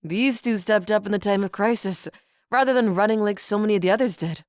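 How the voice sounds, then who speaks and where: A woman voicing a relaxed, always-smiling male crew leader in his early thirties, unfazed and friendly, in a storybook reading. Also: mid-range voice, a little fast, dry recording, studio quality, close to an everyday voice. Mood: easygoing.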